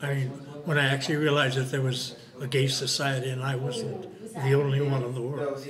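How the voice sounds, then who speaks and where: An elderly man in his eighties recalls his past in a documentary interview, his phrasing wandering and loose. His voice sits at a middle pitch. A loud crowd murmurs behind him throughout. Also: brisk. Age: 80s.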